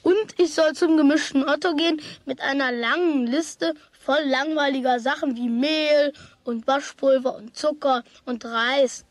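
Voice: high-pitched, unhurried, dry recording. A performer playing a sulky, cheeky young schoolboy who complains defiantly in a children's radio play. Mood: indignant.

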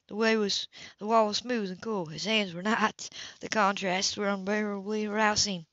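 A woman reading a romance novel aloud as an amateur narrator, slightly halting, stumbling over words and repeating them. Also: mid-range voice, steady tone, a bit bright, dry recording. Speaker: amateur audiobook reader. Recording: dull, muffled lo-fi home recording.

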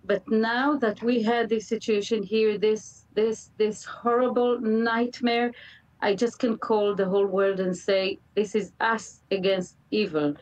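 A middle-aged woman with an Israeli accent speaks haltingly and earnestly in an interview, repeating words for emphasis. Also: medium pitch, steady tone, darkish tone, distant mic, low-quality recording. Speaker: interview guest, mother of a hostage. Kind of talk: emotional live TV interview. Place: indoor room, live remote video link. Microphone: computer video call.

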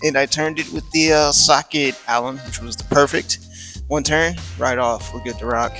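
An American man in his thirties vlogging casually as he works, loose and halting, thinking aloud into a close handheld camera. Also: mid-range voice, sharp tone, soft background music. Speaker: motorcycle vlogger. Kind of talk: casual vlog narration while working.

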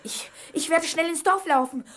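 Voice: very high-pitched, moderate pace, a bit bright. A performer playing an eager, anxious young boy in an audio drama. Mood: anxious, urgent. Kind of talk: German audio drama acting.

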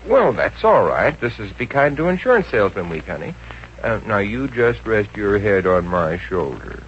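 Dim flirtatious wisecracker, extremely swooping intonation, hammy wheedling, vintage radio comedy, hissy dull recording. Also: male voice, lowish voice, very animated, moderate pace. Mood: playfully coy. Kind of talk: 1950s radio comedy acting.

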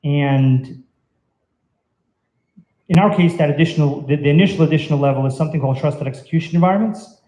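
A man's voice: on the high side, mellow. A man in his thirties giving an informal technical talk at an indoor venue, explaining in a hesitant, conversational way. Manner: hesitant, halting, conversational delivery. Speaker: conference speaker. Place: indoor meetup venue, on stage by projection screen. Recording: roomy, echoey event venue.

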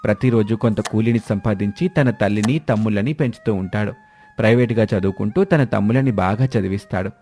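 A man's voice: on the low side, brisk, mellow. A man narrating a scripted moral story.

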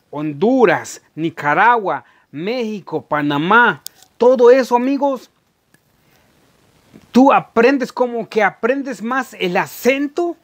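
A middle-aged man, chatting casually to camera in a halting, repetitive way as a vlogger. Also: high voice. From Guatemala. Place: indoor room at home.

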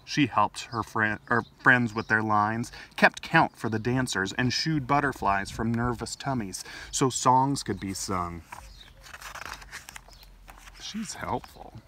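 Male children's storyteller, middle-aged, expressive read-aloud, mid pitch, recorded a little off mic. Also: takes it slowly. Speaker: library storytime host. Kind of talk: expressive picture-book reading for children. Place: outdoors in a garden. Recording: distant mic, faint outdoor noise.

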